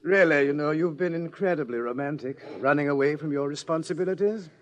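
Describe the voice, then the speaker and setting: An actor playing a suave, self-indulgent pursuer from the future in a vintage radio drama, urbane, leisurely and mocking. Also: male voice, a little high, fairly quick, muffled recording, close to an everyday voice. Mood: smug menace. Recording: old radio drama recording.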